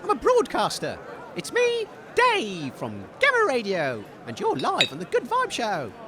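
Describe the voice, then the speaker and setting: A man's voice: very high-pitched, wildly swooping pitch, unhurried, pushed and bright, full character voice. A man playing a hapless, eager radio presenter in a comedy, polite, hesitant and easily flustered. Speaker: radio host. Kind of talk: comedy roving-reporter interviews. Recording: outdoor crowd noise.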